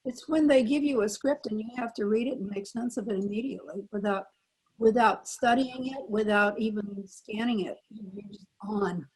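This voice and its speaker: A woman's voice: medium pitch, normal speed, slightly rough, rough recording. An older woman, a former child radio actor, telling stories as a panel guest on a video call. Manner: animated storyteller, acts out quoted lines. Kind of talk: anecdotal storytelling.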